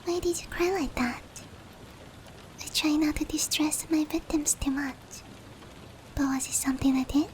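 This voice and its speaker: A soft, breathy female demon played by a woman whispers tenderly in a high voice. She is caring and reassuring, in the close, intimate style of roleplay ASMR. Light rain noise runs behind her. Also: steady tone, moderate pace, pauses often, natural voice. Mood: gentle, curious. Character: gentle, caring female sleep paralysis demon comforting a human.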